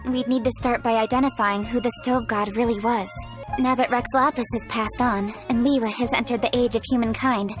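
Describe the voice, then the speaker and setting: Video game role: actress as earnest young female official, high voice, formal and scholarly, composed delivery. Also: natural voice. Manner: formal, articulate, measured phrasing. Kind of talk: scripted video game dialogue.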